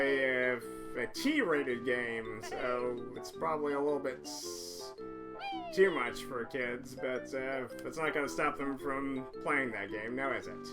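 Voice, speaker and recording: A man narrating his game session in a slow, chatty ramble, stopping to correct himself. His voice sounds hoarse and keeps cracking, and loud game music plays under everything. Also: mid-range voice. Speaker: video game player. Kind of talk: casual let's-play commentary.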